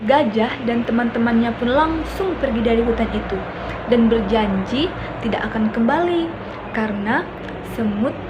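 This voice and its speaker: A young woman with an Indonesian accent, a student telling a folk tale aloud in a plain, steady reading. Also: a little high, moderate pace, background noise. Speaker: student storyteller.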